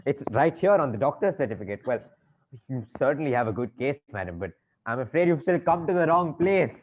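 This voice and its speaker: A man's voice: medium pitch, very animated, brisk, close to an everyday voice. Man playing a flustered banker on tinny audio. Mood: exasperated. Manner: stammering, fussy, over-polite turning frantic. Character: flustered, exasperated male bank manager, polite but fraying. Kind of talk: comic stage-play acting. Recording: tinny band-limited audio, faint noise.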